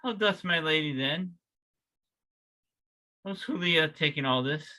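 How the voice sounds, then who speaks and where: An anguished young man behind bars, played by a man at a table read, speaks in a high, piercingly bright voice. He takes his street-smart stage lines slowly, over a video call. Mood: worried, brooding. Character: anguished young jailed lover in a modern Romeo retelling.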